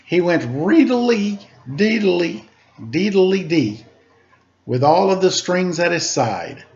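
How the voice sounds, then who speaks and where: Lively man reading a children's story aloud. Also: sits high, slow. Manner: expressive, playful sing-song delivery. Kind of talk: animated read-aloud storytelling with rhyming verse. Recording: slightly dull, lo-fi home recording.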